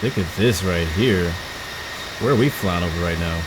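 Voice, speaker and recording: A woman's voice: rumbling low, moderate pace, noisy background. A woman from Houston chatting casually to viewers during a live flight-sim stream, rambling with frequent stumbles and restarts. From Houston, Texas. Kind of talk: casual live-stream chat while flying.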